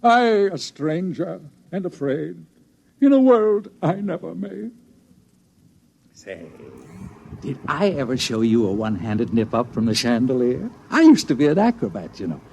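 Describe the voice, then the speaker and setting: Shaky, excitable old swindler. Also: male voice, on the high side, unhurried, creaky, full character voice. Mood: maudlin, boastful. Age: older. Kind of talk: 1950s radio drama acting. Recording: noisy old 1950s radio recording.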